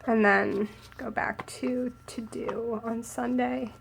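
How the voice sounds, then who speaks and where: A young woman with a cold, a little hoarse and sniffly, chatting casually as she narrates decorating her planner. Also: fairly high pitch, steady tone, slow pace. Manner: chatty, upbeat, thinking aloud while crafting. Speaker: planner vlogger.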